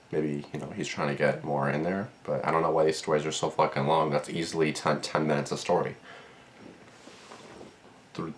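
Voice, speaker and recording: A man's voice: very deep voice, a little hiss or hum. A young man with a cold, sniffling as he hosts a casual reaction video, rambling with lots of fillers and sarcastic asides.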